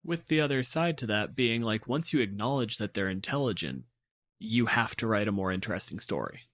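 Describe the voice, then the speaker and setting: A man's voice: on the low side, expressive, brisk, fairly bright tone. A man hosting a casual tabletop gaming discussion, explaining his opinions plainly. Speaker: podcast host.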